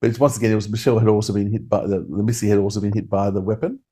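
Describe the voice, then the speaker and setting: A man's voice: on the low side, little pitch movement, racing, dark tone. A man hosting a podcast, talking casually through a review. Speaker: podcast host. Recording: music playing behind.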